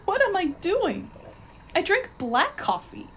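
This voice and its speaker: A woman's voice: very high-pitched, huge pitch swings, takes it slowly, a bit bright, dull audio, a little hiss or hum, full character voice. A woman playing a shy woman fighting a possessing spirit, protesting in distress in an audio horror drama. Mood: alarmed.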